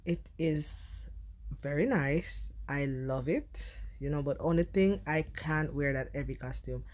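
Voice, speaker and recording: A woman's voice: low voice, animated, unhurried, darkish tone. Chatty Trinidadian woman vlogging. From Trinidad and Tobago. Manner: chatty, addresses viewers as guys, slips into Trini creole. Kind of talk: casual vlog chat.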